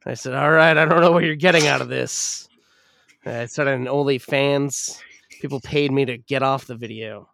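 A man with a raspy voice co-hosting a casual podcast from home, joking and rambling. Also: mid-range voice, steady tone, normal speed, a bit bright, dry recording, studio quality. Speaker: podcast co-host. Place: separate room, remote recording. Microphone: home podcast microphone.

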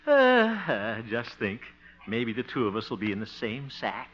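A man's voice: medium pitch, wildly swooping pitch, unhurried, fairly bright tone, dull audio. An actor playing a jovial, chuckling, easily exasperated small-town radio man in a 1950s radio sitcom, on an old recording. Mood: eager, chummy. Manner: chuckling, exclamatory, folksy. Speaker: lead comic actor.

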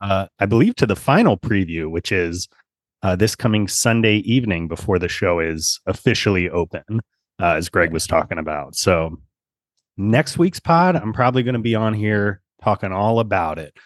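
A man's voice: lowish voice, animated, moderate pace, dry recording. A man co-hosting a casual sports podcast with a dry, wry sense of humor. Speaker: podcast co-host.

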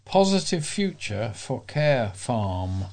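A man's voice: medium pitch, very animated, slow, darkish tone. A man reading local news items aloud as a volunteer newsreader. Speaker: volunteer newsreader for a talking newspaper.

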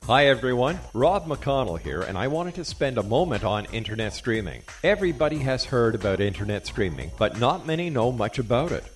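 A man hosts radio and reads a sponsor spot. His mid-pitched voice moves with animated intonation, and faint music plays behind the scripted pitch. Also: a little fast, hardly any pauses. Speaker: radio host reading sponsor ad. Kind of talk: scripted advertisement narration.

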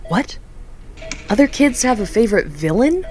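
A woman's voice: fairly high pitch, sing-song, moderate pace, a little mellow, a little hiss or hum. An actress playing an eager, friendly, newly promoted young woman coordinator in an audio drama. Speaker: foundation coordinator.

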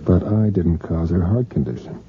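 A man's voice: low voice, normal speed, natural voice. An actor playing an obsessed man chasing a woman who vanished, in a radio drama, urgent and pleading. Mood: defensive. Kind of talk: 1980s radio drama acting. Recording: dull lo-fi radio broadcast with music.

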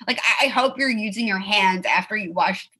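A woman in her thirties chatting casually as host, full of "like" and quick asides. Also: mid-range voice, bright, forceful tone, breathy edge, rough recording. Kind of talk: casual chat.

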